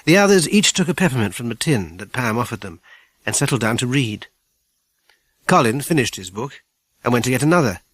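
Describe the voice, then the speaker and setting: British female audiobook narrator for children's fiction, very low-pitched, close dry recording. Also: very animated, a little fast, no echo. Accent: British English. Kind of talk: scripted children's story narration.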